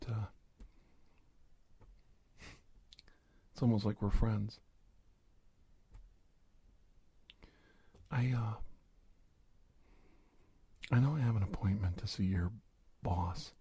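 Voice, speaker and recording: A man playing an earnest, hesitant secret-agent doctor in a soft-spoken ASMR roleplay, halting, confiding and gently coaxing. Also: lowish voice, gravelly, breathy edge, no room sound, heavily acted. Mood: friendly, tentative.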